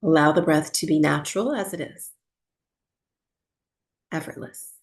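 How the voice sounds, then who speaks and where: Low, creaky-voiced woman guiding meditation. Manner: soothing, unhurried with long pauses. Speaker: yoga and breathwork teacher. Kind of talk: guided meditation for sleep.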